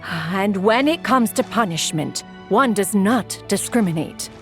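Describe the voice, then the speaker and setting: A woman's voice: mid-range voice, animated, a little slow, faint music behind. A woman voicing a proud, stern immortal lady in a game dub, speaking in a haughty, archaic manner. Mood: disapproving. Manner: haughty, archaic, refers to self as "one". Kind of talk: video game dub acting.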